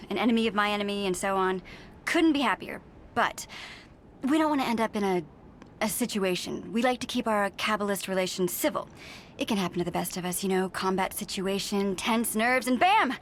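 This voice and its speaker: An actress playing an American government agent in a video game, with brisk, insincere politeness and condescending veiled menace. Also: female voice, medium pitch, slight background noise, natural voice. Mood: falsely chipper. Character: falsely chipper, veiled-threat female American government agent. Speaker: Homeland Security agent. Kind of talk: video game cutscene acting.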